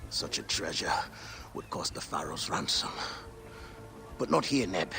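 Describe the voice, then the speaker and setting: A man voicing a nervous, sly antiquities merchant in a game, fawning and dropping to secretive hushes. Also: low voice, big pitch swings, unhurried, creaky, faint music behind, full character voice.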